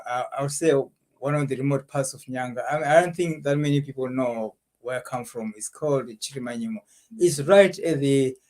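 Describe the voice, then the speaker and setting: A man's voice: a little high, darkish tone. A man from Zimbabwe with a Zimbabwean accent, a guest answering interview questions reflectively, with some repeated words.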